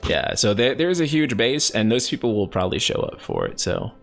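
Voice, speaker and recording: A man's voice: medium pitch, huge pitch swings, brisk, gravelly, a bit bright, faint music behind. A man hosting a casual live-stream chat, upbeat and chuckling, reading out viewer questions. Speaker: host.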